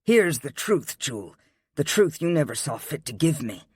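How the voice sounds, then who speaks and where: A man's voice: fairly high pitch, big pitch swings, normal speed, darkish tone, husky, dry recording, studio quality. A man voicing a cold, furious husband who sneers with icy contempt. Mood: icy sneering.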